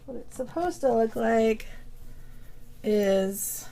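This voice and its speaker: A woman's voice: fairly high pitch, lively intonation, takes it slowly, vocal fry, dark tone. A woman with a cold, chatting casually as she hosts a yarn-dyeing video podcast, rambling with many fillers and restarts. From Vermont, USA. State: has a cold. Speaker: yarn dyer and podcast host.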